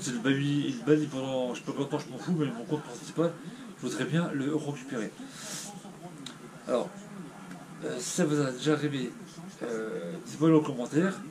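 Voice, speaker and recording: A man's voice: medium pitch, rough recording. A man vlogging to his viewers with a stuffy nose from a cold, rambling and hesitant, with many fillers, and sounding discouraged. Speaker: YouTuber. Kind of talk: casual vlog talking to viewers.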